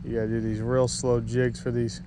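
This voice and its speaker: A man vlogging while he fishes from a pier at night, chatty and excited, talking to a body-mounted camera. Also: medium pitch, fairly quick. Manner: excited, chatty, swears mildly. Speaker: fishing vlogger. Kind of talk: casual narration while fishing. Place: outdoor fishing pier at night. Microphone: body-mounted action camera. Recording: noisy outdoor camera audio.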